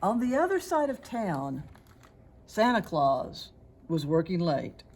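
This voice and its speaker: Unhurried, expressive woman storyteller, slightly husky. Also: fairly low pitch. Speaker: storybook reader. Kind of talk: expressive scripted children's story narration.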